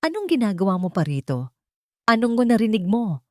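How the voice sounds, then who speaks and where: A woman's voice: medium pitch, big pitch swings, normal speed, no room sound, studio quality, pitched above the performer's own voice, natural voice. A woman voicing a cruel, scheming mistress in an audio drama, with a menacing, contemptuous manner. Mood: suspicious, menacing.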